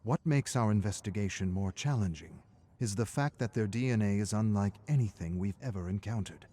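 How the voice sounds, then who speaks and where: A man acting a weary scientist who has survived a monster invasion, logging his findings for a video game. His voice is on the low side, grave and measured, and his phrase endings sink into a hush. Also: close to an everyday voice. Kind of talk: scripted dramatic audio log narration.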